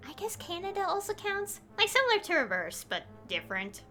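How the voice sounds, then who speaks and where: A woman's voice: very high-pitched, wildly swooping pitch, a little fast, bright, forceful tone. A woman streaming a game with casual, rambling, informal live commentary.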